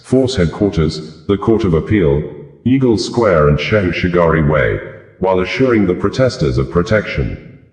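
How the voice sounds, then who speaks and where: Guy with a really deep voice reading out news formally, lots of room echo. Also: a little mellow. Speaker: news reader. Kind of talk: scripted news narration.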